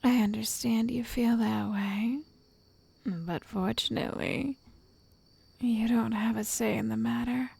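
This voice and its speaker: A woman voicing an obsessive, lovestruck witch in an ASMR roleplay, soft and whispery, coaxing with possessive endearments. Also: a little high, fairly even intonation, unhurried, creaky, husky, dry recording, full character voice. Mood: insistent.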